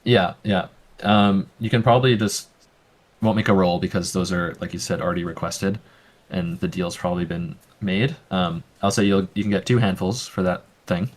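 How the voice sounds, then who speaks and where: A man's voice: deep voice, steady tone, normal speed. A man working as game master, explaining the rules in a casual way with many fillers. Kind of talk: narrating a tabletop game.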